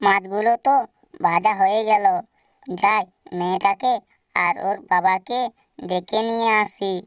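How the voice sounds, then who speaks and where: A smooth, clear mother bird from a cartoon, played by a woman, gentle and indulgent with her family. The sound is dry and a little way from the mic. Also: medium pitch, steady tone, measured pace, no echo, far from the mic, natural voice. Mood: busy, motherly. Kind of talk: scripted cartoon voice acting.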